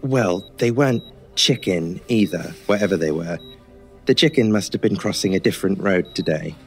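Low-voiced, chatty hospital nurse. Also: male voice, normal speed, soft background music. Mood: joking. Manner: polite, wordy, gently joking. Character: chatty, joking, mild-mannered male hospital nurse. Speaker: voice actor in an audio drama. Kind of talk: old time radio horror drama acting.

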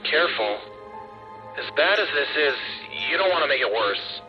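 An actor playing a wary, dryly joking campground employee in an audio drama, heard through a two-way radio filter. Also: male voice, fairly high pitch, expressive, normal speed, faint music behind. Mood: dry caution. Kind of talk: horror audio drama acting. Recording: dull band-limited radio sound.

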